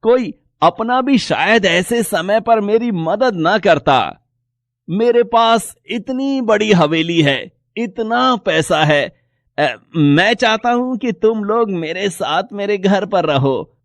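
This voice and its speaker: Man acting slow, high-voiced rich landowner. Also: no room sound, full character voice. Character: rich lonely male landowner, miserly turned grateful. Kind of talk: acted moral story dialogue.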